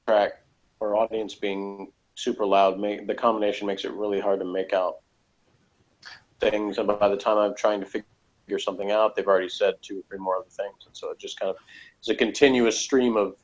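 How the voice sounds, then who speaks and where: Low-voiced American man, dull recording. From United States. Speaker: podcast reviewer. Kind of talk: casual discussion.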